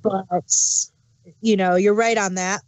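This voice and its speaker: A woman's voice: mid-range voice, fairly even intonation, slow pace, no room sound, highs cut off. A woman co-hosting an audio drama review podcast, chatting casually and thinking aloud with fillers. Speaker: podcast co-host. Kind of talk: casual chat.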